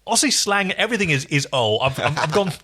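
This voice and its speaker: A man's voice: fairly high pitch, very animated, fast, bright, forceful tone, husky. A man co-hosting a podcast, chatting casually and enthusiastically about an episode, often breaking off and restarting his thoughts. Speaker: podcast co-host. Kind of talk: casual episode review chat.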